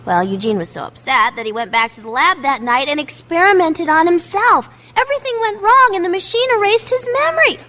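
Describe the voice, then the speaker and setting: A woman's voice: very high voice, animated, brisk, hardly any pauses, bright, forceful tone, heavily acted. An actress playing a chatty, impulsive young woman and loyal friend in a family radio drama, eager and teasing. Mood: excited gossip.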